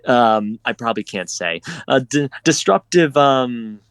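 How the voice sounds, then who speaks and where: Man, effusive fan talk, playful exclamations, average pitch, dry close sound. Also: animated, measured pace, fairly bright tone, clean tone, no echo. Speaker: fan guest on a panel discussion. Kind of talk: casual enthusiastic fan chat.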